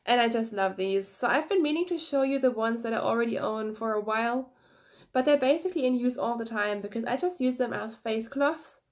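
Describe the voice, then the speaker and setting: A young woman with a slight head cold, hosting her knitting podcast in quick, energetic, chatty talk, recorded on a phone at arm's length in a small room. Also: a little high. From Germany. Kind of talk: casual chat to camera. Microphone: phone camera at arm's length, no external mic.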